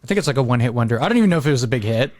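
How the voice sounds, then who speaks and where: A man's voice: medium pitch, expressive, rapid-fire, a little mellow, dry recording. A man chatting casually and agreeably, feeling his way through loose phrases peppered with "like". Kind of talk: casual chat.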